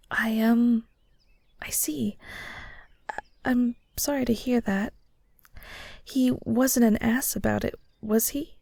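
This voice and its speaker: A woman playing a shy, kind ghost in an intimate audio roleplay, speaking softly and hesitantly with stammers and nervous little laughs. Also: fairly high pitch, fairly even intonation, slow, pauses often, no room sound, heavily acted. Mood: gentle, concerned. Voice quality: soft, breathy. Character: shy, kind female ghost shade, awkward and caring. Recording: soft guitar music behind. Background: gentle guitar music.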